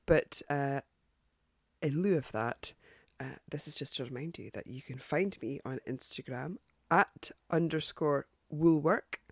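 A woman hosts her podcast while sounding congested and croaky from a cold, her voice gravelly. She talks in a chatty, hesitant way, full of ums and restarts. The recording is dry and close. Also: low-pitched, measured pace, no room sound. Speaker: podcast host. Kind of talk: casual podcast sign-off.

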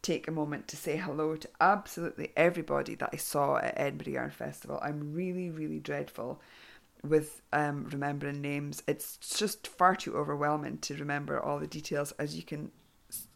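Low gravelly-voiced woman podcaster. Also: steady tone, a little fast. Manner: chatty, rambling, with many ums and restarts. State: voice going hoarse. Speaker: podcast host. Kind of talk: casual podcast chat.

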